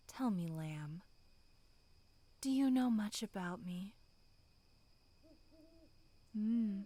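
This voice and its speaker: Female performer as low, seductive monster woman for horror ASMR. Hushed, vengeful, extremely slow delivery. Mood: taunting, amused. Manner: slow, hushed, half-whispered, savoring taunts. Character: sinister, seductive female monster in human skin, vengeful and amused.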